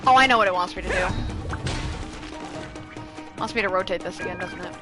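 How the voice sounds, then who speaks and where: A woman's voice: lowish voice, a little fast. A woman giving playful, casual gameplay commentary, repeating phrases and talking back to the game. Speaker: gaming streamer. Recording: game music behind voice.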